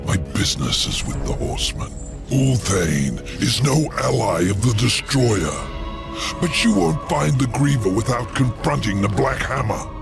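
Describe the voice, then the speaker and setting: An actor playing a scheming ancient male demon lord, slow and menacing, in a video game cutscene with loud music. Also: medium pitch, animated. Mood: contemptuous. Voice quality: gravelly. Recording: game audio with loud score.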